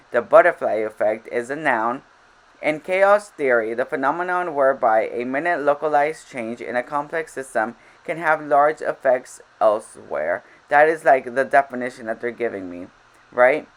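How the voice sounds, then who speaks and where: A woman's voice: very deep voice, animated, normal speed, low-quality recording. A woman whose first language is Spanish, chatting over gameplay in a casual voiceover. She rambles and interrupts herself, a little frazzled. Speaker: game vlogger.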